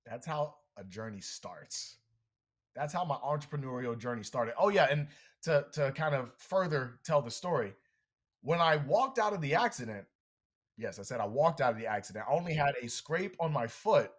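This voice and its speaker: A man who is a guest on a podcast, giving an energetic motivational talk full of storytelling and speaking straight to the listeners. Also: mid-range voice, normal speed, breathy edge. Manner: animated, energetic, addresses listeners directly.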